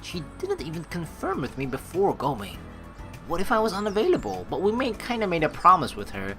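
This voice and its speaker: A woman with a low voice plays through a story game. She reads aloud and comments in a very animated, dramatic way, her pitch moving widely. Faint game music runs behind her. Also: a little fast. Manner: expressive, dramatic reactions. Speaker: let's play streamer.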